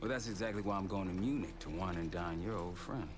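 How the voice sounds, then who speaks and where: An actor playing a cool, determined covert team leader in a video game, measured, serious and matter-of-fact. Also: male voice, low voice, music in the background, lightly acted. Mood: brisk, resolute. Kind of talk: cinematic game dialogue and briefing narration.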